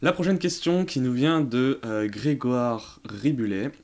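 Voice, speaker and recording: A man's voice: mid-range voice, unhurried, darkish tone. A man hosting a tech Q&A in a casual, unscripted way, often hesitating as he thinks aloud and using informal slang. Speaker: tech tutorial host answering viewer questions. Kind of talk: casual off-the-cuff explanatory commentary.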